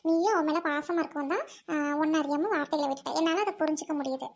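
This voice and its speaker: Woman voicing very high-pitched, kind-hearted village girl. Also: fast. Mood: soothing, reasoning. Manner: pleading, reasoning, colloquial. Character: loyal, kind-hearted young village girl, plain-spoken peacemaker. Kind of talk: village comedy-drama acting.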